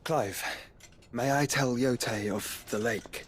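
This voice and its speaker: An actor playing a scholarly young nobleman in a fantasy game, speaking formal archaic lines in a measured, soft, courtly way. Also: medium pitch, slight background noise, natural voice. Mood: gently firm.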